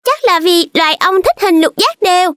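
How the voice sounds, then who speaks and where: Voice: extremely high pitch, expressive, moderate pace, a bit bright, dry recording, studio quality. A performer voicing a sweet, curious, polite little child in a children's cartoon dub. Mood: curious, cheerful.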